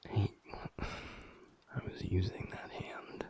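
A man playing a tender, teasing alpha werewolf boyfriend in an intimate audio roleplay, murmuring softly in a breathy, gravelly whisper with pauses. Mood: teasing, affectionate. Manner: slow, soft, pausing, murmured.

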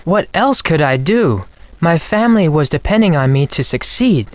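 Earnest, higher-pitched young male exchange student played by a man. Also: clean tone, dry recording, close to an everyday voice. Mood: ashamed, pleading. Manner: polite, formal, earnest. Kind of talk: scripted video game dialogue.

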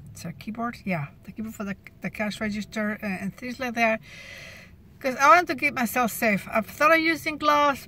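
A woman's voice: medium pitch, pushed and bright, slight background noise. A woman in her fifties chatting casually from a parked car about her job as a cashier, often restarting her sentences, filmed on a phone held at arm's length. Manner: chatty, restarts often, laughs at gross moments. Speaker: cashier sharing her workday. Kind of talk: casual car vlog chat. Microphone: phone camera at arm's length.

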